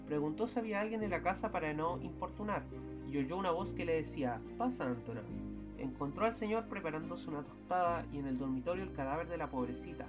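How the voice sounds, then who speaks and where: A man's voice: a little high, lively intonation, fast talker, dull audio, over music, lightly acted. A young man, a student actor, playing a fussy, weary husband who grows sinister in an amateur radio drama. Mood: calm, detached. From Chile. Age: young adult.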